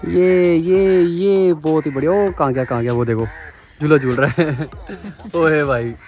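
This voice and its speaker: A man's voice: fairly high pitch, fairly even intonation, a little slow, darkish tone, dull audio. A man vlogging a casual walking tour of a zoo, chatty and enthusiastic, often exclaiming, recorded outdoors on a handheld camera. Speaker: travel vlogger.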